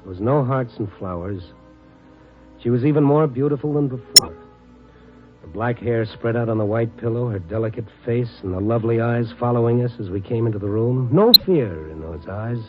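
A man's voice: medium pitch, normal speed, natural voice. An American man playing a world-weary, wry newspaper reporter in a clipped 1950s radio drama style. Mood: brooding narration. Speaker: newspaper reporter lead and narrator. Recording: tinny old radio recording with music.

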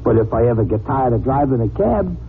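An actor playing a dim, cheerful cab driver sidekick with slangy, ungrammatical talk in a 1940s radio drama. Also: male voice, mid-range voice. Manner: slangy, ungrammatical, slow-witted. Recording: scratchy, narrow-band old radio.

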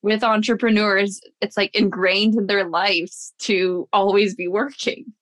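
A woman's voice: mid-range voice, flat delivery, a little slow, a bit bright. A woman hosting a podcast interview, introducing her guest and asking questions in an upbeat, encouraging, conversational way. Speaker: podcast host.

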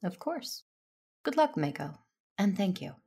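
A woman playing a calm, firm Jedi aunt in an audio drama, measured and persuasive, with a creaky voice. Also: mid-range voice, full character voice. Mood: firm, solemn. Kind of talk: audio drama acting with first-person narration.

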